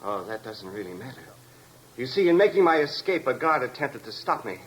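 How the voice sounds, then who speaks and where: An actor playing a deranged escaped convict, calm, polite and coldly menacing, in the style of a 1950s radio drama. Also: male voice, medium pitch, fairly quick. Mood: calmly menacing. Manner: polite, composed, coldly precise. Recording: noisy vintage radio recording.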